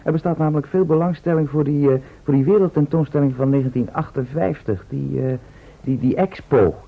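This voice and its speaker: Male radio-play role: practical organiser from the future, explanatory, Netherlands Dutch, roughened voice, narrow old-radio sound. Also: fairly high pitch, a little fast. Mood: placating. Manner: explanatory, matter-of-fact. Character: matter-of-fact male travel organiser from the future. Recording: thin, band-limited old radio recording.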